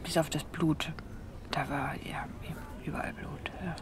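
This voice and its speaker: An actor playing a shaken, hesitant young man in a naturalistic radio drama, speaking haltingly. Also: on the high side, fairly even intonation, in a whisper.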